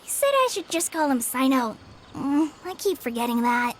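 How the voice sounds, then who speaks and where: A woman's voice: high voice, expressive, normal speed, slight background noise. A woman voicing an earnest, shy teenage girl forest ranger in a video game, speaking politely and a little hesitantly. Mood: earnest, unsure. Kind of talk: video game cutscene acting.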